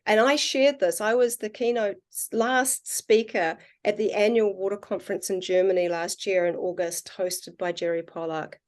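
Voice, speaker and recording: A woman with a New Zealand accent, a podcast guest and water researcher, talking in an earnest, musing way and slowing down on her key points. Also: medium pitch, fairly even intonation, darkish tone. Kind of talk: casual conversational interview.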